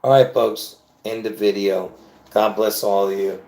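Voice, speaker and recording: A man's voice: on the low side, steady tone, a little slow, dark tone. A man hosting a casual solo livestream, talking in a rambling, chatty way with frequent laughs and restarts. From born in Lebanon. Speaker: livestream host. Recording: roomy echo.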